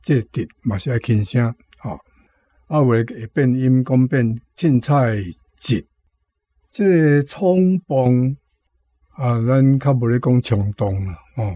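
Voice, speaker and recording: A man's voice: mid-range voice, slow pace, dark tone, no echo. A man with a Taiwanese accent giving an informal, explanatory language lesson. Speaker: language commentator.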